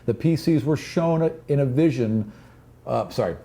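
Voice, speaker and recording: A man's voice: mid-range voice, a little slow, dark tone. A man narrating a tabletop fantasy game as game master, moving conversationally between rules talk and scene description.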